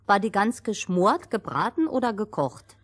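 A woman's voice: medium pitch, very animated, normal speed. A woman playing a naive, cheeky, contrary co-storyteller in a fairy-tale audio play, playful and matter-of-fact. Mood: cheeky, curious. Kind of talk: acted fairy-tale narration in dialogue.

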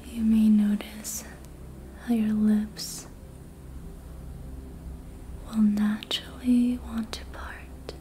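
A woman whispering a guided relaxation in ASMR style, soothing and hushed, in short unhurried phrases. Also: a little high, little pitch movement, a little hiss or hum. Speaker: ASMR host guiding relaxation during a facial massage.

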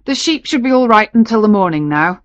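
A woman's voice: mid-range voice, animated, a little fast, a bit bright, no echo, lo-fi sound, lightly acted. A woman narrator voicing a cross old male shepherd who gives stern, rustic orders in a storybook. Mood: stern, bossy.

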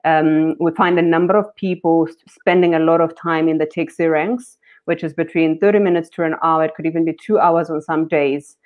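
A woman, a company founder, explaining her work on a panel over a video call, steady with frequent ums. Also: deep voice, moderate pace. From South Africa. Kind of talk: panel discussion talk. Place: indoors, home room with curtains. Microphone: webcam on video call. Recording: dull lo-fi video call audio.

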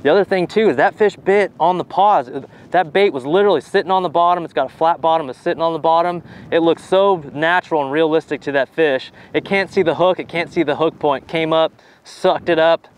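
A man's voice: fairly high pitch, brisk, few pauses, clear, resonant tone. A man in his thirties giving a casual fishing tutorial on a boat outdoors, talking with easy enthusiasm and plenty of "you know" fillers. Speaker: pro bass angler.

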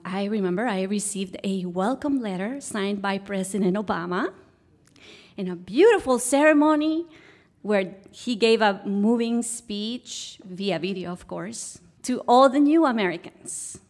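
A woman's voice: medium pitch, animated, a little slow, a little mellow. A woman from Guatemala with a Guatemalan Spanish accent, telling a personal story on stage as a guest. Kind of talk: personal story told to a live audience. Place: live stage show.

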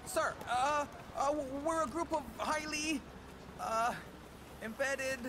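An actor playing a nervy, sarcastic, wordy soldier in a video game squad. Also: male voice, very high-pitched, slow pace, noisy background. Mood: worried. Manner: sarcastic, anxious.

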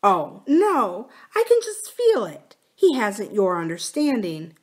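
A woman voicing a sweetly pleading, manipulative young woman in an audiobook, with soft, drawn-out phrases. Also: medium pitch, huge pitch swings. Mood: plaintive. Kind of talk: audiobook character dialogue.

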